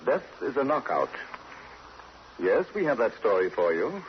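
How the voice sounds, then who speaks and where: An actor playing a cordial, deliberate librarian who hosts a 1940s radio mystery show. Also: male voice, medium pitch, sing-song. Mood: cordial, ominous. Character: cordial, eerie male librarian of mystery club. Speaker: host and announcer. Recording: muffled old radio recording, faint noise.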